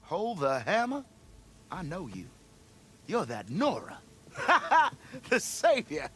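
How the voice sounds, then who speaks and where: An actor playing a boastful, theatrical expedition leader in a video game, talking in a grandiose, florid way. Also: male voice, sits high, huge pitch swings, takes it slowly, a bit bright, breathy edge, exaggerated voice. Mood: gleeful, flattering. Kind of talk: video game character acting.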